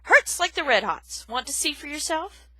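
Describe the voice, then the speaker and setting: A woman voicing a grumpy, loud old male porcupine who blusters and shouts in a storybook reading. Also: sits high, very animated, moderate pace, dry recording, pitched above the performer's own voice, heavily acted. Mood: gruff.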